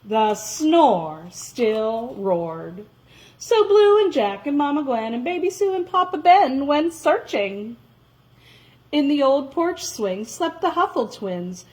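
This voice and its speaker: A woman reading a children's story aloud in a dramatic, expressive way, drawing out words for emphasis. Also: high voice, takes it slowly, rough recording.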